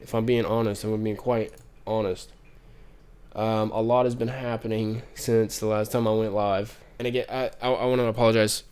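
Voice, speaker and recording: A man's voice: fairly low pitch, level tone, normal speed, darkish tone, clean tone. A man with a stuffy, nasal voice from a cold, commenting on the news on a casual stream, rambling with many ums and restarts.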